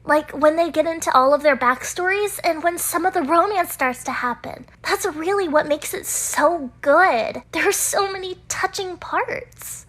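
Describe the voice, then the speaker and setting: A woman's voice: very high-pitched, unhurried, hardly any pauses, a bit bright. A woman voicing a touchy, bossy teenage schoolgirl manga fan in a visual novel dub, defensive and huffy. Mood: earnest, softer.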